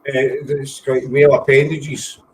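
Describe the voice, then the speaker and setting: A man's voice: medium pitch, little pitch movement, slow, a little hiss or hum, rough recording. A Scottish man with a Glaswegian accent hosting a podcast, joking and rambling in casual banter on a video call. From Scotland. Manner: jokey, self-mocking, rambling. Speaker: podcast host.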